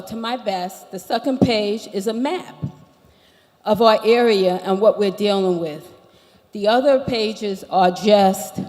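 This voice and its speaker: A woman's voice: mid-range voice, warm tone. American-sounding woman addressing an echoing hall. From Barbados. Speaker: resident speaking from the floor at a public consultation. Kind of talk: formal public presentation of a complaint. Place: large indoor meeting hall.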